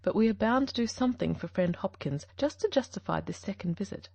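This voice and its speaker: A woman doing a calm, gravelly detective. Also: medium pitch, no room sound. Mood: measured. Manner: measured, gently firm. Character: calm, shrewd male detective, gentle but firm.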